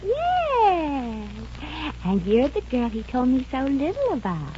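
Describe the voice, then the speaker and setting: A woman's voice: high-pitched, huge pitch swings, slow, dark tone, exaggerated voice. Brassy, wisecracking young woman in vintage radio comedy. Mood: jealous sarcasm. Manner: sassy, sarcastic, slangy. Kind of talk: 1950s radio comedy acting. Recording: muffled, noisy 1950 radio recording.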